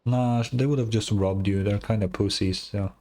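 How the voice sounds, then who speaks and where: A woman's voice: very deep voice, fairly even intonation, normal speed, dark tone. A woman streamer giving casual, offhand and blunt live commentary while reacting to a game.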